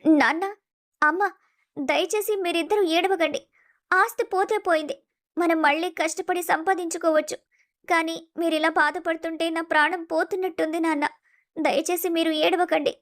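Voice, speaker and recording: A woman playing a gentle, devoted young adult daughter in a melodramatic family story. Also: very high-pitched, fairly even intonation, moderate pace. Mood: pleading, consoling.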